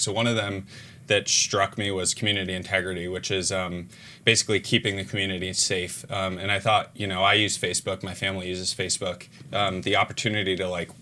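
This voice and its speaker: A man working as an engineering manager, answering panel questions in a relaxed, rambling way with many ums. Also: low voice.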